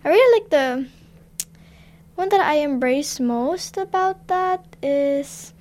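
A young woman guest talks openly in English about where she lives and what she loves. Her voice is high, and she answers earnestly while sometimes stopping to search for a word. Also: animated, slow, darkish tone. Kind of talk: casual interview answers.

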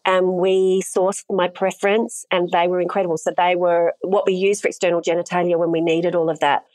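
A woman's voice: lowish voice, flat delivery, brisk, a little mellow, no room sound, rough recording. Frank woman explaining her work plainly. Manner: frank, explanatory, laughs easily. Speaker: intimacy coordinator. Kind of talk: casual interview chat.

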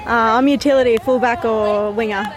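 A woman's voice: fairly high pitch, fairly even intonation, normal speed. A woman footy player talking in an easygoing, chatty way in a vox pop interview.

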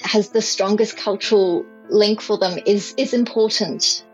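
A woman's voice: mid-range voice, steady tone, a little slow, a little mellow, soft background music. Thoughtful woman expert on dull audio. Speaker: interviewed expert. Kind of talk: explanatory interview answer.

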